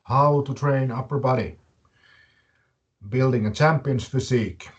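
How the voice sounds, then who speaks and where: Webinar host: man, Finnish accent, mid-range voice, slow and deliberate presenting style. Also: medium pitch. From Finland. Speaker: webinar host and fitness academy director.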